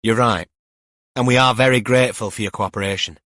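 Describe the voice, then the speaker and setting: A man's voice: fairly low pitch, a bit bright, no room sound, studio quality, lightly acted. Man voicing a composed, guarded woman detective. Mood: careful, reassuring. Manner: measured, polite, guarded.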